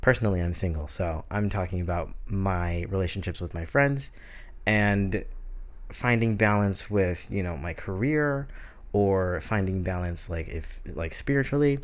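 A man's voice: low voice, measured pace. A man in his twenties hosting a casual solo podcast, rambling with many fillers and restarts. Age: 20s. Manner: rambling, heavy use of "like", restarts. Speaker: podcast host.

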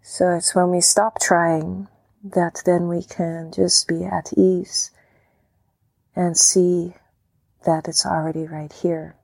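A woman leading a guided meditation, speaking calmly and gently in short phrases with long pauses. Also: on the low side, gravelly, dry recording, rough recording. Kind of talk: guided meditation, softly spoken instruction.

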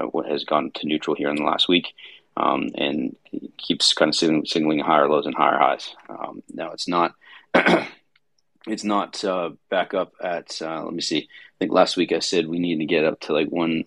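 A tired man with a raspy voice hosting a casual market-review chat, rambling with many fillers and restarts. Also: a bass voice, fairly even intonation, moderate pace, fairly bright tone, no echo. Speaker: host.

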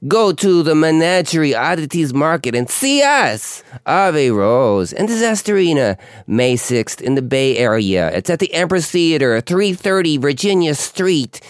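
Male podcast host, hoarse voice, lively swooping delivery, dry close sound. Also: on the high side, measured pace, hardly any pauses, dry recording. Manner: chatty, joking, self-mocking. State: hoarse, scratchy voice. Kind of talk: casual rambling monologue.

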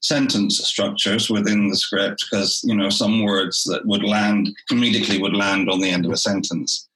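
A man who is a theatre director, talking through his work in a relaxed interview and often restarting his phrases. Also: fairly low pitch, moderate pace, echoey room, rough recording.

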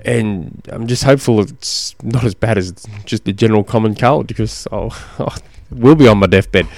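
A man hosting a casual solo podcast, slightly hoarse from a cold, rambling with many ums and restarts. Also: lowish voice, normal speed, darkish tone, no room sound. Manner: rambling, self-deprecating, lots of ums and restarts. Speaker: podcast host and cycling coach.

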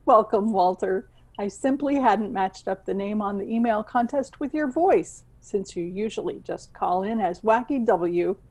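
A woman's voice: mid-range voice, unhurried, hardly any pauses, darkish tone, natural voice. A woman playing an earnest, frazzled radio station boss in a scripted audio comedy, theatrical and wry.

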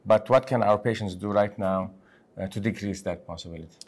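A man from Lebanon with a fairly low voice hosts a medical podcast. He is a physician speaking conversationally, in halting bursts with emphasis. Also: a little mellow. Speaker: podcast host, physician and section head.

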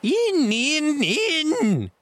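Raging blind beggar pirate boss, storybook villain, high and swooping, imperious shouted orders. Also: male voice, huge pitch swings, very slow, exaggerated voice. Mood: furious, eager. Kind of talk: audiobook character acting.

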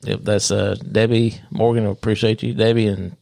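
A man's voice: fairly low pitch, level tone, normal speed, vocal fry, warm tone, no echo. A man, co-hosting a podcast with laid-back, wry replies. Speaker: podcast co-host. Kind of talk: casual chat.

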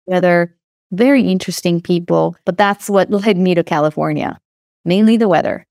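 A woman's voice: lowish voice, moderate pace, creaky, a little mellow, no room sound, studio quality. A woman in her thirties with an Israeli accent, talking casually as a podcast guest with many fillers and restarts. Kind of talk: podcast interview.

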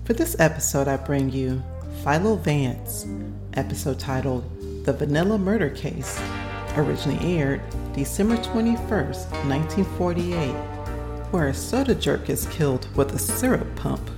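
Very low-voiced woman host over music. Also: lively intonation, normal speed. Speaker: podcast host. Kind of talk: scripted episode introduction.

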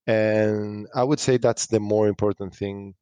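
A man answering interview questions as a startup founder, thinking aloud haltingly and repeating phrases. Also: fairly low pitch, fairly even intonation, darkish tone, no room sound, muffled recording. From Spain.

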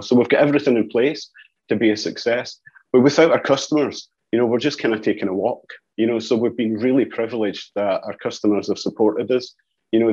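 Scottish guy talking business on a call, bit raspy, muffled roomy sound, says you know a lot. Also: fairly low pitch, darkish tone. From Scotland. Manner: repeats "you know" and "as well" as verbal tics. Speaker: company executive being interviewed. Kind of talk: informal business interview. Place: office, on a video call.

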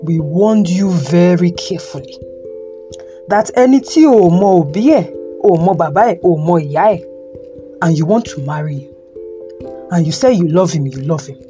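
A man's voice: sits high, animated, mellow. A man with a Nigerian Yoruba accent playing a stern, admonishing elderly father and grandfather in an audio drama. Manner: admonishing, deliberate, switches between Yoruba and English. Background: music.

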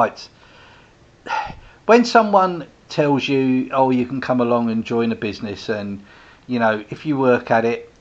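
A man's voice: medium pitch, muffled recording. A man, talking casually to viewers in a rambling, chatty way full of restarts and asides. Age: 60s. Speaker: host, direct sales business owner.

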